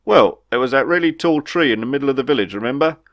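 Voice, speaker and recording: A man's voice: medium pitch, expressive, fast talker, a bit bright, muffled recording, pitched above the performer's own voice. Man playing a matter-of-fact explaining sidekick. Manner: patient, explanatory. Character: matter-of-fact explaining human male companion.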